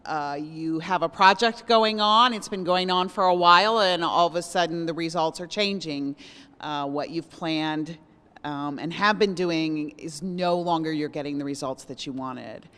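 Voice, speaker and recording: A woman reporting her table's discussion at a community seminar, measured and dotted with ums. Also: deep voice, fairly bright tone, clean tone, big-room sound.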